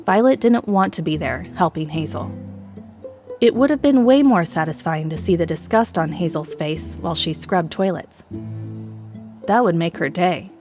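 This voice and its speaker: A woman's voice: on the low side, moderate pace. A woman narrator reading a scripted, dramatic story with music behind her.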